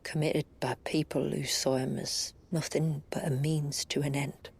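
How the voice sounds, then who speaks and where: Solemn, low-voiced village elder. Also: female voice, flat delivery, gravelly, darkish tone, slightly rough, full character voice. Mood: sorrowful, grave. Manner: measured, formal, grave. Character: solemn, dutiful female village elder, steadfast and sorrowful. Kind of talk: video game cutscene acting.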